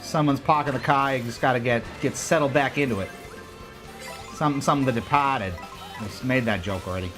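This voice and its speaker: A man giving casual, joking commentary while he plays a racing game on a livestream, reacting out loud to every turn. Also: medium pitch, fairly quick, over music. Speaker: livestream gamer.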